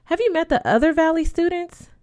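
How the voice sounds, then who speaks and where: A woman's voice: very high-pitched, expressive, a little fast, darkish tone, voice pushed up. A woman voicing a frank, wry teenage schoolgirl in casual dialogue. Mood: curious.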